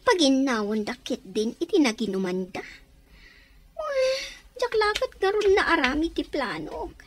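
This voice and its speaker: A woman playing a possessive, lovesick woman in a radio drama with theatrical, drawn-out delivery. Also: sits high, sing-song, slight background noise. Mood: scornful, scheming.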